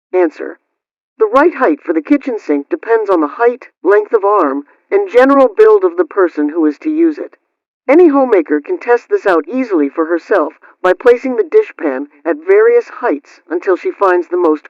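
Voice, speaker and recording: A woman's voice: on the low side, darkish tone, no room sound. Muffled old radio, woman playing a prim 1920s household advice hostess, formal and instructional, dry close sound. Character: Aunt Sammy, prim 1920s radio homemaking host. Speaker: host. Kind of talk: scripted 1920s radio household question-and-answer reading. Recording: dull, band-limited old-radio sound.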